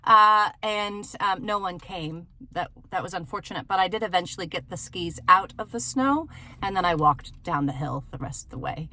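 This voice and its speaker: A woman hosting a casual storytelling vlog from inside a parked car, animated and giggly, acting out bits of dialogue. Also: fairly low pitch, moderate pace, a little hiss or hum. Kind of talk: casual personal storytelling to viewers.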